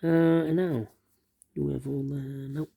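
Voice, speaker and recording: A man's voice: on the high side, level tone, unhurried, creaky, dark tone, breathy edge. A man explaining a sudoku step by step, thinking aloud and repeating the numbers to himself. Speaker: sudoku instructor. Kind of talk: thinking aloud while solving a puzzle.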